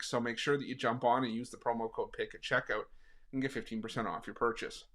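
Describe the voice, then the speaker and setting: A hoarse, slightly raspy man talking casually through a sports betting pick, with plenty of fillers. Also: mid-range voice, fairly bright tone. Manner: conversational, frequent fillers.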